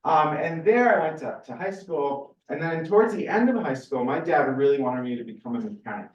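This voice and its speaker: A man's voice: a little high, expressive, fairly quick. A man in his forties from California speaks as a scientist giving an informal, personal lecture in a conversational way, often correcting himself. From California, USA. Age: 40s. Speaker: research scientist giving a seminar. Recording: roomy, distant, muffled lecture recording.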